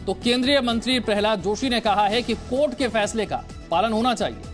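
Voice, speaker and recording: A man's voice: high voice, a little fast. A man presenting television news as an anchor, explaining developments directly to viewers. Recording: music bed under the voice.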